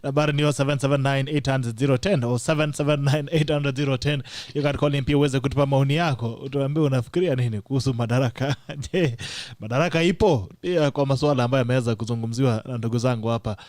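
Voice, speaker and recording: A man's voice: medium pitch, fairly even intonation, fast, hardly any pauses, a little mellow, no echo. A Kenyan man hosting a studio talk show, mixing English and Swahili, laughing often and reading out the call-in number.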